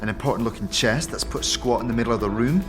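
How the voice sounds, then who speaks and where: A man's voice: medium pitch, fast, music in the background, close to an everyday voice. A man voicing a wary, greedy, sneering female tiefling thief in an audio drama, full of colloquial slang. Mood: instructive, cocky. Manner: colloquial thieves' slang with inverted, repeated tag phrases. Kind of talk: audio drama character acting.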